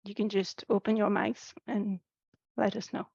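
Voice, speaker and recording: Gravelly-voiced woman leading an online session. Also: mid-range voice, little pitch movement, normal speed, a little mellow, no room sound. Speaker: workshop facilitator. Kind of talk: online workshop facilitation. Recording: dull lo-fi online call.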